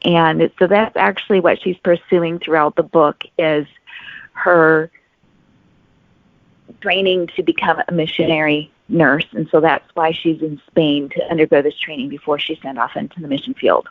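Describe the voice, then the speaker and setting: A woman's voice: sits low, steady tone, moderate pace, no room sound. A woman author giving explanatory answers as an interview guest. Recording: narrow phone-like sound, very dry.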